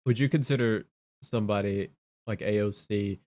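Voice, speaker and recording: Male podcast host, slightly low voice, blunt and dismissive, informal talk. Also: moderate pace, warm tone. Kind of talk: casual political chat.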